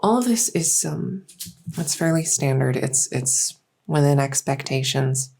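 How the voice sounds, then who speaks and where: A woman playing a gentle, reassuring doctor in a soft-spoken medical roleplay, speaking hesitantly with frequent pauses. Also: extremely low pitch, animated, vocal fry, husky, full character voice. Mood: attentive, calm. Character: gentle, reassuring female doctor in a fantasy transition clinic. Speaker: roleplay audio performer.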